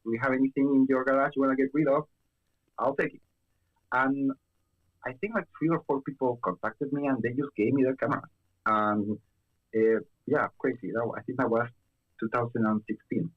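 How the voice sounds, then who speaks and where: A man's voice: medium pitch, fairly even intonation, fairly quick, phone-quality sound. A young man from Chile chatting casually as a guest, with lots of fillers and restarts. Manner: hesitant, many fillers and restarts. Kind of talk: casual chat.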